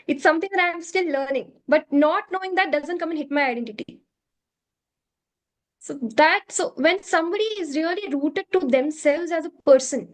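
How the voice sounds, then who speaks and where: Indian woman, Hindi her first language, high-pitched, explains earnestly in an interview, restates each point. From South India. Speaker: curriculum developer, interview guest.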